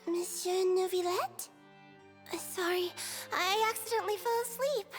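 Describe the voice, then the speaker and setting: A woman voicing a sweet, trusting little creature girl in a video game, gentle, childlike and a bit hesitant, with music behind. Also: very high voice. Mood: sleepy, grateful. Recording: music under the voice.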